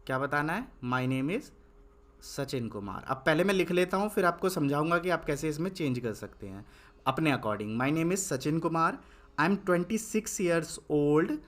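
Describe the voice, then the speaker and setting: A young man teaching English basics in Hindi, asking and answering his own questions and dictating slowly while he writes. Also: fairly high pitch, expressive, a bit bright. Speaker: English tutor. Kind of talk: spoken English lesson with writing on a board.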